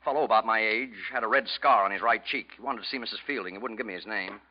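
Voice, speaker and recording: An actor playing an anxious, wavering husband in a 1940s radio noir drama, hesitant and halting in his speech. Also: fairly low pitch, natural voice. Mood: uneasy, torn. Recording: dull old radio recording.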